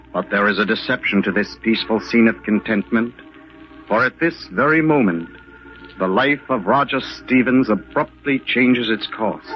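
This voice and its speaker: A man's voice: medium pitch, lightly acted. Man as ominous old-radio mystery narrator over music. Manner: deliberate, dramatic, hushed suspense. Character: solemn, ominous male storyteller of a mystery tale. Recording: muffled old radio recording.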